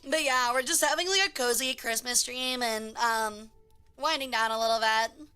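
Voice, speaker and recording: A woman streamer chatting casually with her chat in a hoarse voice, reading messages aloud. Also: fairly high pitch, normal speed, sharp tone. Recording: faint music behind.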